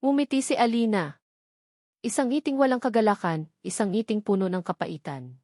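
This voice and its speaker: A woman's voice: medium pitch, moderate pace, a little mellow, dry recording, studio quality. A woman reading a scripted, dramatic story as its narrator.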